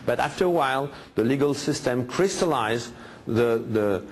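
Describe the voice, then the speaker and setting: A man's voice: medium pitch, expressive, normal speed. A man speaking in a lecture style, making emphatic points and often asking rhetorical questions. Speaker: guest speaker in a played clip.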